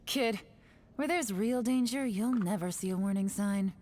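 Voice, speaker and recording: A woman's voice: medium pitch, a little slow, darkish tone, close to an everyday voice. A woman voicing a cool, self-assured female agent in a video game, composed and dry with a subtle menace. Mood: cool, firm.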